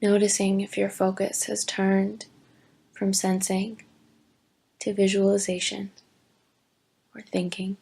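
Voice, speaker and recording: A woman teaching yoga nidra, giving gentle, deliberate guided meditation instructions with long pauses. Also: medium pitch, little pitch movement, creaky, husky. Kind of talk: slow guided meditation narration.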